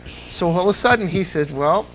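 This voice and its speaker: A man chatting casually as an interview guest, telling anecdotes in an animated way and acting out the dialogue. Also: mid-range voice, moderate pace, creaky, low-quality recording. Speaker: interview guest, old-time radio fan. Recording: audible background noise and hum.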